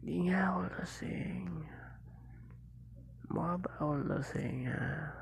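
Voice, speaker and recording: Drunk young Filipino boyfriend , played by a man, ASMR roleplay. Very gravelly, breathy half-whisper, drawling, playful and clingy. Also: a little high, slow, exaggerated voice. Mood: stubborn, tipsy. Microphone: close lapel mic into a mobile phone.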